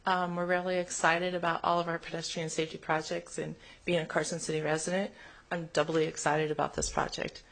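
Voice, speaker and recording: A woman with a boomy voice, a traffic safety engineer presenting a project at a public meeting in an echoey chamber, upbeat and chatty. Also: flat delivery, brisk, gravelly.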